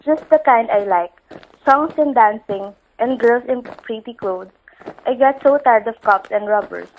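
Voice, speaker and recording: Sweet chatty wife in old radio drama, highish voice, homey, thin vintage sound. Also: female voice, a little slow, narrow, tinny audio, a little hiss or hum. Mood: chatty, amused. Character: chatty, sweet-natured wife who likes musicals. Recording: band-limited old radio recording.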